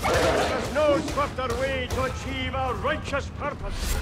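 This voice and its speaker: A man's voice: very high-pitched, takes it slowly, very noisy. A man playing a devout, merciless zealot warrior in a video game, formal and sermonizing. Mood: righteous. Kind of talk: video game character lines, preaching. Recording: loud music and gunfire behind.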